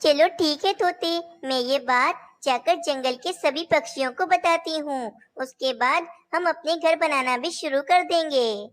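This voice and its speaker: A woman's voice: high voice, measured pace, few pauses, a bit bright. A woman voicing young female cartoon birds, caring and a little bossy, in a childlike, declamatory way. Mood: earnest. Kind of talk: Hindi animated children's story dialogue.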